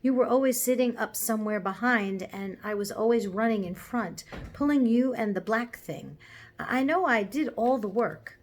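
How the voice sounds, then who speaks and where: A woman playing a slow, thoughtful, slightly muddled talking horse in a storybook reading. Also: fairly high pitch, close to an everyday voice. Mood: remembering. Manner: slow, pondering.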